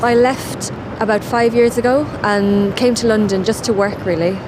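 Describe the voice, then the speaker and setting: A woman giving relaxed, chatty answers in a street interview outdoors. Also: mid-range voice, moderate pace, over music, low-quality recording. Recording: outdoors with traffic noise.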